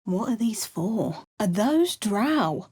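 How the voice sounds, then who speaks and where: A woman's voice: mid-range voice, very animated, slow, darkish tone, breathy edge, dry recording, full character voice. An actress voicing a wary, determined female adventurer hero with a British accent, trading dry asides with storybook narration. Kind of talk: video game character lines.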